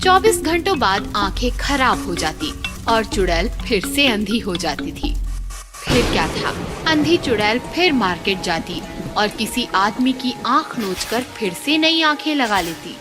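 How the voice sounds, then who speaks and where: Female storyteller for animated horror: fairly high, lively intonation, scripted dramatic narration over loud music. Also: a little slow.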